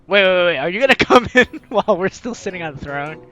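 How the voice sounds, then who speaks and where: A gaming video host reading a visual novel aloud in an animated, theatrical way, adding playful commentary, with game music behind. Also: mid-range voice, fast talker, highs cut off. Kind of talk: let's play commentary with dramatized reading of game text.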